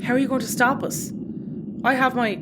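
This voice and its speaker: A woman's voice: sits high, flat delivery, brisk, heavy background noise, natural voice. A woman playing a flustered, blunt spaceship first officer in a sci-fi audio comedy. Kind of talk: naturalistic audio comedy acting.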